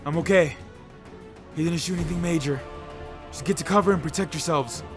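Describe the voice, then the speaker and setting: A man's voice: fairly high pitch, brisk, faint music behind, close to an everyday voice. A man playing a loyal young friend in an audio drama, speaking in a casual, earnest way. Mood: hurt, anxious. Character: Ed, loyal young friend and teammate, calming but scared. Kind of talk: amateur audio fiction acting.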